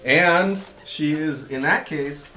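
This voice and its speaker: A man's voice: on the high side, slow pace, music in the background. A man acting as game master, casually running a home tabletop game and talking the players through each turn. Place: home, around a gaming table. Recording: noisy room recording with clicks.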